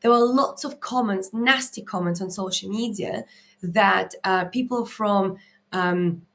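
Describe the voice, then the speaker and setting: A woman with an Eastern European accent speaks at a medium pitch in an unscripted live talk. She is earnest and emphatic, like a coach answering viewers' questions. She punctuates her points with short check-in words. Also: unhurried, fairly bright tone. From Latvia. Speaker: mindset coach and live Q&A host.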